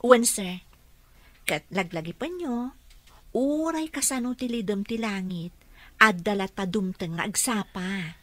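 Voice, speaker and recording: A woman's voice: medium pitch, a little slow, a bit bright, dry recording. A woman acting in a radio drama as a respectful, encouraging female therapist, with an Ilocano accent. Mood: gently encouraging. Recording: music behind the voice.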